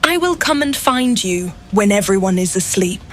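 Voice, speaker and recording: An actress playing a composed, cool-headed adventurer in a fantasy game, measured and self-possessed. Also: female voice, mid-range voice, animated, natural voice. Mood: teasing.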